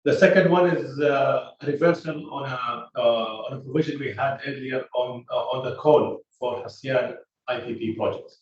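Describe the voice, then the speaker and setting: Man, company executive, earnings call delivery, hesitant and measured, frequent filler pauses, heavy room echo, off-mic and distant. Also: mid-range voice. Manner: halting, measured explanation of figures. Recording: echoey, distant, muffled conference call audio.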